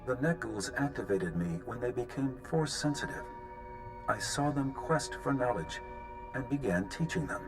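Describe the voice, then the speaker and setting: A man playing a serene ancient Jedi holocron teacher in a video game, speaking in a measured, formal, unemotional way. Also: medium pitch, lively intonation, close to an everyday voice. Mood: serene, solemn. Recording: game music underneath.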